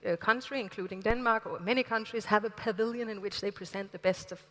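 Female moderator on stage, thoughtful, crisp bright voice, long hall reverb. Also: medium pitch, fast talker. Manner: thoughtful, searching for words. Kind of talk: moderating a public conversation.

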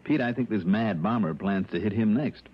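A man's voice: fairly low pitch, brisk, breathy edge. An American man playing a tough, clipped private investigator in an old radio drama, speaking with insistent authority. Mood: probing, firm. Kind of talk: 1950s-60s American radio drama acting. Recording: dull, noisy old radio recording.

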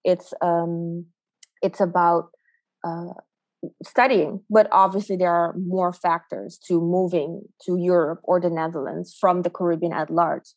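A young woman co-hosting a podcast who speaks softly and hesitantly, trailing off at the ends of thoughts. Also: on the low side, flat delivery, unhurried, dry recording. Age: young adult. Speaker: podcast co-host. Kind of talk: casual reflective chat.

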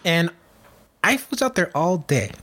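A man's voice: on the high side, very animated, measured pace, a bit bright. A man in his late thirties co-hosting a casual podcast, rambling with lots of fillers and restarts. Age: 30s. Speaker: podcast co-host.

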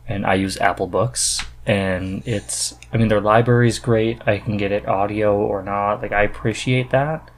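Low-voiced man chatting casually. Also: steady tone, normal speed, few pauses, slight background noise. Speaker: podcast host. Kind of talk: casual conversational chat.

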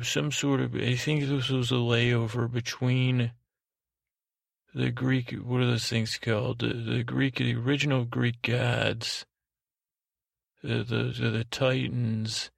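A man hosting a podcast, delivering a rambling, whimsical bedtime monologue in a hesitant, deadpan way, often correcting himself and trailing off. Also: medium pitch, creaky, no room sound, clean studio sound. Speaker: podcast host.

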